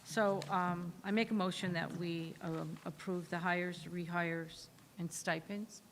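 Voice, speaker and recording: Woman board member, loud lower voice, hesitant delivery, table mic, noisy room. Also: steady tone, unhurried. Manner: hesitant, many fillers. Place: public meeting room. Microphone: table microphone. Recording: table mic with background noise.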